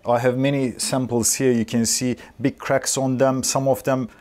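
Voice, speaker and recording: A man's voice: medium pitch, flat delivery, normal speed, a little mellow. A man in his forties, a rigger, listing inspection points in short broken phrases, recorded on a lapel mic in a workshop. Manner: non-native, fragmented phrasing, listing checks. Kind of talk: technical interview. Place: workshop, indoors.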